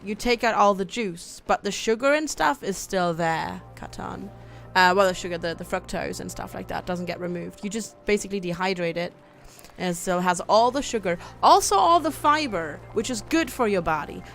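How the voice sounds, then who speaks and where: A woman's voice: medium pitch, vocal fry, a bit bright. A young woman from Germany chatting casually with her viewers while playing a game on a livestream, reading out chat and laughing often. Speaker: streamer.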